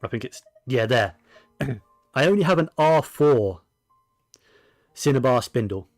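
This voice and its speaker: A man's voice: medium pitch, lively intonation, a little slow, no room sound, soft background music. Rambling man doing casual game commentary, dry sound. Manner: rambling, self-correcting. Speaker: game streamer.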